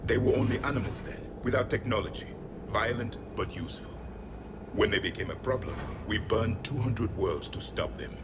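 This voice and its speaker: Game casting: male actor as cold ancient alien warrior, low voice, imperious and grave, over loud noise. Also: animated, lightly acted. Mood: grim. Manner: stern, imperious, measured. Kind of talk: video game cutscene acting.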